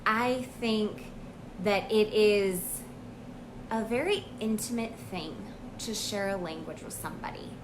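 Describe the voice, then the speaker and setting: An American woman, a language coach, talking about her own experience in a casual, earnest vlog monologue with frequent pauses and ums. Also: mid-range voice, noisy background. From United States. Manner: earnest, reflective, with pauses and fillers.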